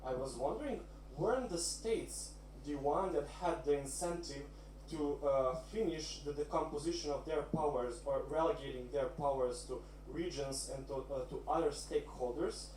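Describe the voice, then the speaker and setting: A man heard from a distance in an echoey room, with faint hum behind him. He is from Serbia, speaks English as a second language and poses a question to academic panelists at a middling pitch. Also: moderate pace, a little mellow. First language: not English. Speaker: audience questioner at academic round table.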